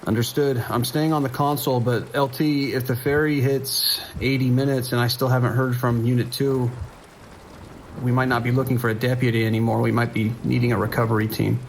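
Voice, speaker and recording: Police dispatcher male actor, audio drama. Creaky mid-range voice, procedural, tense and clipped, light rain noise. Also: mid-range voice, little pitch movement, a little fast, natural voice, poor audio. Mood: tense, worried. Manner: clipped, procedural radio speech.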